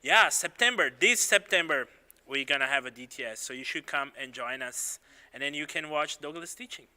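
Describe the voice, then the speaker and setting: A man's voice: a little high, normal speed, pushed and bright, long reverb tail. A man hosting a live-streamed panel chat, upbeat and friendly, laughing often. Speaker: host.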